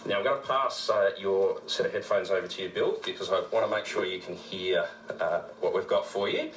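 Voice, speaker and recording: An Australian man working as a radio presenter, giving an informal on-air tribute with a halting delivery. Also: deep voice, a bit bright. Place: radio studio. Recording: roomy studio with faint noise.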